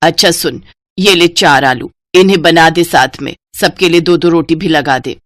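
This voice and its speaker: Stingy mother-in-law, acted by a female narrator, lower female pitch, commanding, emphatic, cartoon story, dry close sound. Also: moderate pace, no echo, close to an everyday voice. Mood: bossy, stingy. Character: stingy bossy mother-in-law of a rich family.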